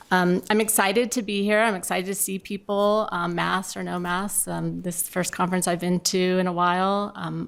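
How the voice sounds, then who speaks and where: Middle-aged woman panelist with creaky voice. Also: fairly low pitch. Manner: conversational, addressing the audience directly. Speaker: panelist from a municipal water provider. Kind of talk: informal conference panel remarks.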